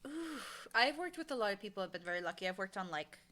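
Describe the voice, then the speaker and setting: Bright, forceful woman chatting as interview guest. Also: mid-range voice, big pitch swings, fast, a little hiss or hum. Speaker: interview guest, audio drama creator and casting director. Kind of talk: casual interview chat.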